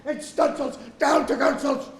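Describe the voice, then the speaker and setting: A man's voice: steady tone, a little slow. A man playing an old, obsessed whaling captain on stage, declaiming grandly and commandingly in an echoing auditorium. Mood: commanding, frenzied. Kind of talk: theatrical stage acting of a literary tragedy. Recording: echoey auditorium, low rumbling noise.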